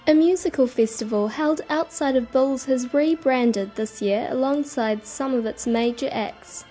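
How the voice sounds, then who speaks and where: A woman radio reporter reading a scripted news feature in a measured way. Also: high voice, mellow, faint music behind. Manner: measured, informative.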